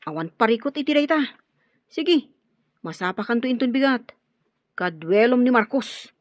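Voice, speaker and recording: A woman's voice: fairly high pitch, expressive, moderate pace, dry recording, close to an everyday voice. A woman voicing a young male henchman in a radio drama, obedient to the boss and cocky about fighting. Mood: confident. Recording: dull lo-fi audio with faint music.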